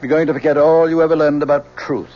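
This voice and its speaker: An actor playing a middle-aged, principled father, measured, probing and quietly stern, in old-time radio drama style. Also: fairly high pitch, little pitch movement, natural voice. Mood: grave disappointment. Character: grave, principled, loving father of grown daughter. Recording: dull old radio recording, faint noise.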